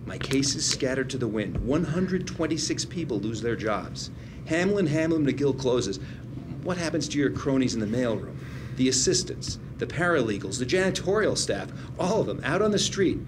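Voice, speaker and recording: A man's voice: medium pitch, big pitch swings, moderate pace, natural voice. A man acting a proud, principled ailing attorney and older brother at a drama table read, arguing in a precise, lecturing way. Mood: insistent, argumentative. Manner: precise, didactic, lawyerly argument. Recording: clearly noisy room recording.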